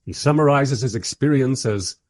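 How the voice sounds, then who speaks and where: A man's voice: medium pitch, moderate pace, darkish tone, dry recording, close to an everyday voice. A man playing a wry, weary filmmaker who narrates a radio play in a dry, sardonic way. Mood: wry recounting.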